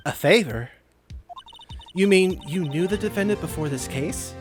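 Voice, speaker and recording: A performer voicing a composed, encouraging senior defense lawyer in a courtroom video game, in a measured manner. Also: mid-range voice, animated, music in the background, lightly acted. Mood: composed, curious.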